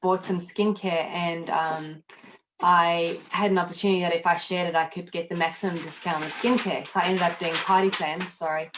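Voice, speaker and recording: A woman with a South African accent, chatting casually as an interview guest, self-deprecating and quick to laugh. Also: lowish voice, fairly even intonation, dull audio, background noise. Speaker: guest, accountant and network marketer.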